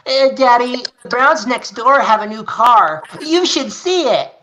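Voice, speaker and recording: A woman's voice: on the high side, expressive, a little slow, crowd noise. A woman voicing an eager, chirpy young child in a radio play reading. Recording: dull lo-fi call audio.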